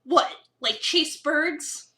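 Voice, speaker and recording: A woman's voice: sits high, extremely slow, bright, forceful tone, full character voice. A woman voicing an exasperated, sarcastic young cafe worker, narrating a visual novel with dramatic incredulity. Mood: flustered. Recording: roomy, slightly distant mic.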